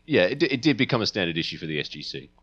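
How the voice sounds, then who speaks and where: A man co-hosting a podcast, casually debating science fiction in a measured, deliberating way. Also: deep voice, big pitch swings, fairly bright tone, muffled recording. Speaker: podcast co-host.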